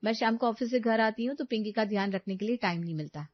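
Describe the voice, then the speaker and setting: A woman's voice: a little high, fast talker, no echo, lo-fi sound, lightly acted. Woman plays young working daughter-in-law, cartoon story; polite yet exasperated, chatty, dry close sound with dulled top. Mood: weary, patient. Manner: complaining, chatty.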